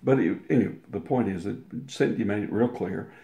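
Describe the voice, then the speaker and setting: A man's voice: on the low side, a little fast, creaky, dark tone. An older man telling a long personal anecdote as an interview guest, rambling with restarts and acting out the quotes. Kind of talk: casual storytelling. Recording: roomy echo.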